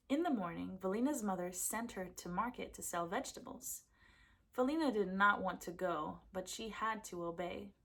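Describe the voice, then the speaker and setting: A woman reading a folktale aloud as a storyteller, with expressive narration for children. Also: on the low side.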